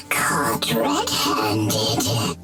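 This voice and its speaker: A man's voice: very high voice, heavily acted. A man voicing a scheming, sneering villain mage in a video game, theatrical and drawn out in his gloating. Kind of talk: scripted video game voice acting. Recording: loud music behind the voice.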